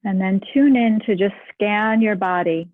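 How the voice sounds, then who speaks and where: Soft-spoken lady talking you thru a meditation, really slow, sounds like over a phone. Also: medium pitch, no room sound, narrow, tinny audio. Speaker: meditation guide. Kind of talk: guided meditation.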